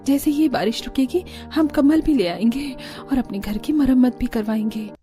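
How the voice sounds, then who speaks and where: A woman's voice: high voice, animated, normal speed, dark tone, faint music behind. A woman playing poor, caring family women in a Hindi cartoon story, earnest and pleading. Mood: worried, caring. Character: poor, pleading, caring mother-in-law and daughter-in-law.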